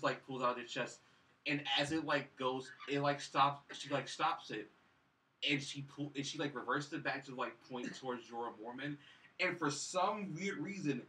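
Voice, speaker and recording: A man with a bright, medium-pitched voice chats casually on a review show. He rambles and sounds dismissive. He is picked up from a little way off the microphone. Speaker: co-host of a film review show. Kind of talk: casual chat, recapping and mocking. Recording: distant from the mic.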